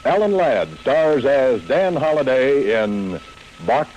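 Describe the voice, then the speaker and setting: A man's voice: medium pitch, animated, slow pace, rough recording. A man announcing in 1940s radio style. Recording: vintage radio broadcast, loud music.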